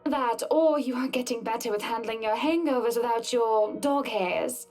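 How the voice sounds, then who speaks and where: An actress playing a poised, weary, sardonic wife in a game, with a measured, dry delivery. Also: female voice, a little high, a little mellow, close to an everyday voice. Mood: dry, teasing. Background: game music.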